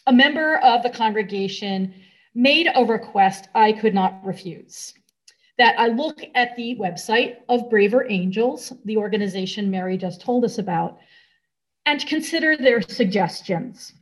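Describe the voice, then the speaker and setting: A woman with an American accent giving a sermon to a congregation in measured, deliberate phrases with pauses. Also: on the high side.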